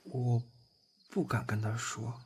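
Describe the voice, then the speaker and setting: A man's voice: mid-range voice, little pitch movement, slow, slight background noise, lightly acted. A man playing a shy, eager young boyfriend in a Chinese audio drama, given to flustered stammering. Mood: anxious.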